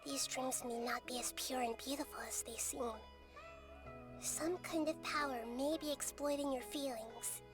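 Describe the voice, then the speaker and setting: A small girlish goddess, voiced by a woman speaks softly and calmly in a high, youthful voice. She is gentle, wise and curious, and every line comes out measured and tender. This is a video game role. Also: music in the background, close to an everyday voice. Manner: soft, calm, measured.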